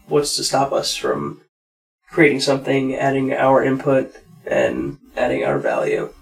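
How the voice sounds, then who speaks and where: A man co-hosting a podcast, talking in a relaxed, reflective and plain way. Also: mid-range voice, unhurried, vocal fry, low-quality recording. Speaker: podcast co-host. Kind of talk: casual conversation.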